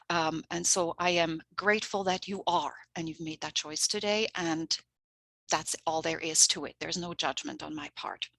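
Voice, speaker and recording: Swiss lady, swiss german native, giving a teacher workshop, lowish voice, dry close sound, chatty and earnest. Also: fairly bright tone, no room sound. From Switzerland. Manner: earnest, chatty, laughs often. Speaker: language coordinator. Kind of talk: workshop presentation.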